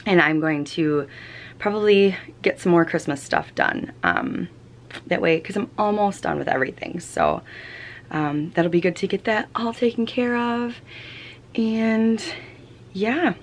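A woman's voice: medium pitch, a little slow, a little hiss or hum. A young woman vlogging casually at home, chatty with many ums and restarts, tired and fighting off a cold. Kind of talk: casual chat. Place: home, small room. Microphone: camera close up.

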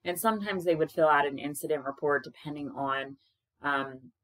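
A woman in her thirties, a caregiver, talking frankly to camera in a loud, projecting, conversational way, recorded on a webcam in a small room. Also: normal speed, no echo, rough recording. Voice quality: low-pitched voice that projects. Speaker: caregiver sharing experiences. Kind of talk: casual advice talk to camera. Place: small indoor room with plain wall.

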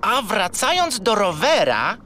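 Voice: mid-range voice, sing-song, a little slow, pushed and bright, slight background noise. An actor playing an earnest, quirky male furry little creature in a children's audio play, with a pompously polite manner. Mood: curious.

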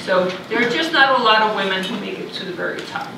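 A woman's voice: medium pitch, fast, vocal fry, noisy background. A middle-aged woman from Denmark giving a conversational university lecture in a large lecture hall, recorded from far off. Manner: conversational, thinking aloud with fillers. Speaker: visiting lecturer. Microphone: room mic far from speaker.

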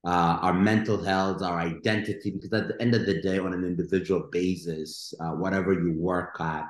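A middle-aged man talks with lively energy as a panel guest, his voice sitting very low. The webcam recording picks up a roomy echo around him. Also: normal speed. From Ethiopia. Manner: energetic, gesturing with his hands. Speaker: guest entrepreneur and investor. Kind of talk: webinar panel answer. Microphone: video call webcam.

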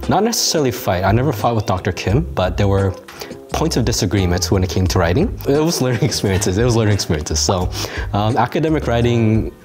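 A man in his thirties from Canada, answering interview questions in a measured, articulate way with a lapel mic in a studio. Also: deep voice. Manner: articulate, measured, with occasional fillers. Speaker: graduate alumnus interviewee. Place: dim studio set with bookshelves. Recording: music bed under voice. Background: soft background music.

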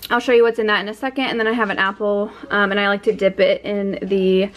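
A woman's voice: mid-range voice, level tone, quick pace. A young woman chatting casually to camera in a vlog, upbeat and full of fillers, filmed at arm's length in her car and home kitchen. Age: young adult. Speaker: vlogger. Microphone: handheld camera at arm's length.

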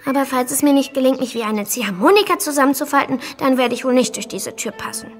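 A woman's voice: high voice, fast talker, soft background music. A woman playing a curious, chatty, plucky little girl in a German audio drama, wondering aloud to herself.

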